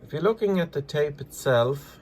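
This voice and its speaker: A man presenting a casual hands-on repair tutorial, talking through each step in halting, simple non-native English. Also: a little high, expressive, darkish tone, a little hiss or hum. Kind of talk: casual hands-on demonstration, narrating as they work.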